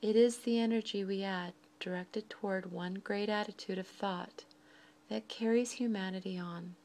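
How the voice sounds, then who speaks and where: A woman leads a guided meditation in a soft, breathy voice, speaking slowly and deliberately in short phrases with frequent pauses. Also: mid-range voice, steady tone, a little hiss or hum.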